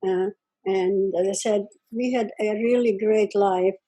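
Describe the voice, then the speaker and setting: A woman's voice: mid-range voice, steady tone, a little slow, dark tone. An elderly woman from Czechoslovakia, speaking plainly as an interview guest and often ending sentences with "okay?". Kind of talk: conversational testimony.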